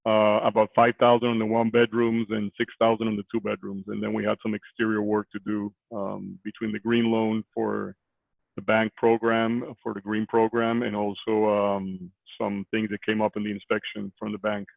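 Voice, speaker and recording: A man in his forties from Costa Rica, a real estate investor talking on a video call from a small office, halting with many fillers. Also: on the low side, completely flat delivery, vocal fry, dry recording. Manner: hesitant, many fillers and restarts. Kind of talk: conversational interview. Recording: tinny phone-like line.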